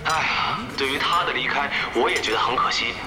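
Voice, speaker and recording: An actor playing a chatty, self-important esports commentator in an audio drama, with a glib, polished announcer's delivery. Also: male voice, mid-range voice, fairly quick, recorded from a distance, very noisy, close to an everyday voice. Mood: smugly wistful. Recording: roomy broadcast sound under loud music.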